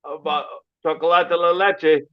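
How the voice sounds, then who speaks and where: A man's voice: a little high, flat delivery, measured pace, clean tone. A man on a livestream panel, hesitant in casual chat and filling gaps with ums. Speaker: panel guest. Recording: dull lo-fi stream audio.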